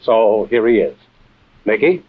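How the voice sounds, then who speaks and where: Composed intelligence chief, tinny old radio. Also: male voice, fairly low pitch, expressive, slightly rough. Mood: inviting, deliberate. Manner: measured, businesslike, dry. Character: composed, authoritative intelligence chief and adventure storyteller. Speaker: host and narrator-character. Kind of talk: old-time radio adventure drama acting. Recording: tinny vintage radio recording.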